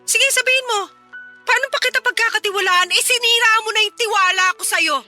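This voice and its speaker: A woman's voice: very high-pitched, a little fast, sharp tone, soft background music, heavily acted. A woman voicing a wounded, sarcastic fiancée scolding her cheating man in a Filipino-accented radio drama. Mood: distrustful, bitter.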